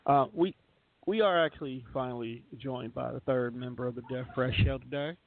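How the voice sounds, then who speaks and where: A man's voice: mid-range voice, normal speed, vocal fry. A man hosting a radio show in casual, conversational group chat, explaining things informally. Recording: narrow tinny phone-like sound.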